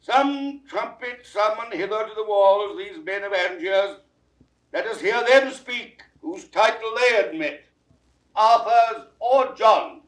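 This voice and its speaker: High-voiced, stately king, echoing. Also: slow, a bit bright. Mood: stern command. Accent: British English, old theatrical received pronunciation. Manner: formal, authoritative proclamation. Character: stern, stately French king, commanding and formal. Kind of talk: mid-century classical Shakespearean stage declamation. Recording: echoey, distant vintage stage-style recording.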